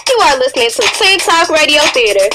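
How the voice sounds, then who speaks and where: High, animated American teenage girl announcer. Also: quick pace, background noise. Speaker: station ID announcer. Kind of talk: scripted radio station promo. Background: music.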